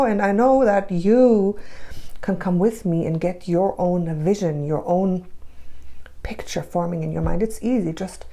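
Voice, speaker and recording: A woman giving an intuitive tarot reading and guiding a visualization, speaking emphatically and often repeating herself, with a sore throat and a cough. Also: medium pitch. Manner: emphatic, repetitive, with soft hushed stretches when guiding. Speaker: tarot reader.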